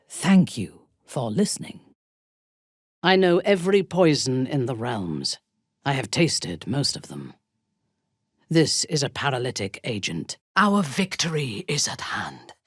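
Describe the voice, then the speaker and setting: A woman voicing a cold, commanding female dark elf warrior in a fantasy game, with a husky, breathy voice and slow, imperious, precise delivery. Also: low-pitched, sing-song, dry recording, studio quality, exaggerated voice. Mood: intimate, solemn. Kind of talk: dramatic fantasy game voice acting.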